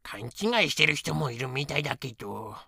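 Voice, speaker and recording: Male actor as boastful cockroach, comedic voice drama, lively swooping intonation, gravelly edge, cutesy explainer. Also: medium pitch, normal speed. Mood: cheerful, boastful. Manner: cutesy verbal-tic sentence endings, explanatory. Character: boastful talking cockroach, male, cheerful know-it-all. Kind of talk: fan-made comedic voice drama, educational banter.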